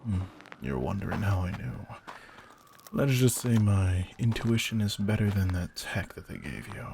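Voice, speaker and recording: A man playing a veteran vampire hunter in a slow-burn audio roleplay, intense and commanding, with deliberate, often breathy delivery and a gravelly voice. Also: on the low side, animated, noisy background. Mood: focused, commanding.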